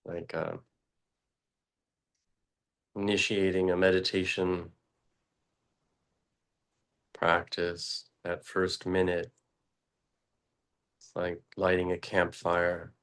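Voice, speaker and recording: A man leading a guided meditation, speaking slowly and haltingly with long pauses and fillers. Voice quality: very low, flat voice. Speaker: meditation teacher.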